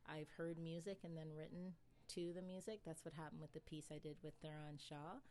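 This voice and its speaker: A woman poet answering interview questions in a thoughtful, easygoing way, laughing often and pausing with fillers. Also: sits low, fairly even intonation, dark tone. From England, raised in Nova Scotia.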